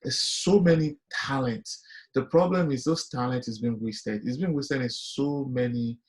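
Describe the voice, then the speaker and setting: A man's voice: medium pitch, measured pace. Earnest Nigerian man on a call. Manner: earnest, motivational, many fillers. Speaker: guest panelist. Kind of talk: casual webinar discussion.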